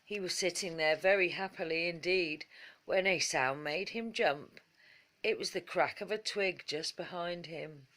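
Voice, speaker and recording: A woman narrating a children's bedtime story in a measured, expressive storytelling manner. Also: fairly low pitch.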